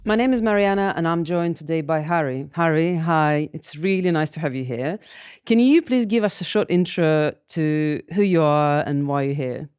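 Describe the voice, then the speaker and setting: A woman's voice: low voice, normal speed, a little mellow, clear, resonant tone, no echo, clean studio sound. A woman hosting an interview podcast, asking curious, open questions. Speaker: podcast host.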